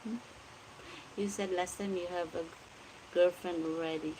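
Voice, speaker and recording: A woman's voice: fairly low pitch, steady tone, measured pace, mellow, slight background noise, rough recording. A Filipino woman in her forties with a Filipino accent, chatting on a casual late-night home livestream and greeting viewers by name as she reads their comments aloud. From Philippines. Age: 40s. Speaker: livestream vlogger.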